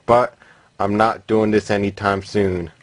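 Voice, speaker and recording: A man with a fairly low voice talks casually through a hands-on demonstration. He is plain-spoken and matter-of-fact, like a hobbyist filming at home on a camera microphone. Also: steady tone, unhurried. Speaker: vintage radio hobbyist demonstrating a repair. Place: indoors at home, voice from behind a handheld camera.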